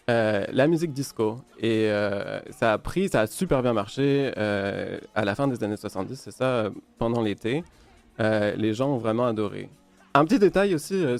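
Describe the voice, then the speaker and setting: A man's voice: medium pitch, a little mellow. A man with a French accent, a DJ guest on a podcast, explaining in a hesitant way with many fillers. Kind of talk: casual interview, explaining music history.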